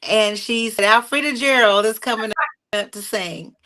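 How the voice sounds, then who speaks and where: A woman with a bright, forceful voice tells her stories at an easy pace with lots of fillers. She sounds middle-aged and speaks like a guest sharing memories in a relaxed chat. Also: on the high side, normal speed. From North Carolina. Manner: animated, warm storytelling with frequent fillers. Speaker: singer and interview guest. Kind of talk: conversational storytelling.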